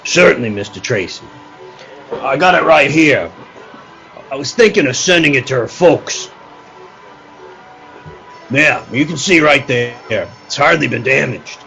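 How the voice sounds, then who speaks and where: A man plays a world-weary circus showman in a radio play. His voice is gravelly and bright, with a matter-of-fact, deferential manner over faint music. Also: mid-range voice, animated, a little slow, pauses often, heavily acted, low-quality recording.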